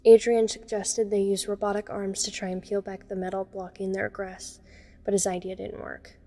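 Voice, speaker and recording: A woman's voice: medium pitch, level tone, moderate pace, dark tone, close to an everyday voice. A woman playing a frightened young woman, narrating a scripted first-person horror story. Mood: somber. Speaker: voice actor narrating a fan audio drama.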